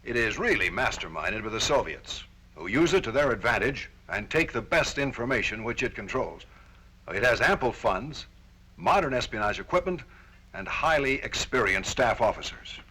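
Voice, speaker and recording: A man's voice: medium pitch, sing-song, normal speed, sharp tone, breathy edge, low-quality recording. An American man delivering stern, formal, authoritative scripted narration as presenter of a Cold War-era military training film.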